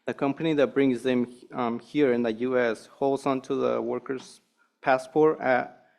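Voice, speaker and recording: Gravelly man reading testimony. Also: medium pitch, fairly even intonation, unhurried, darkish tone. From Guerrero, Mexico. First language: Mixteco. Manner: hesitant, many ums and restarts. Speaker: community organizer.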